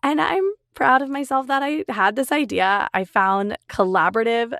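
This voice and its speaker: Upbeat woman, raspy with a cold. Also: on the high side, moderate pace, fairly bright tone, no echo, studio quality. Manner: upbeat, chatty, enthusiastic. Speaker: podcast host. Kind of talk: solo podcast monologue.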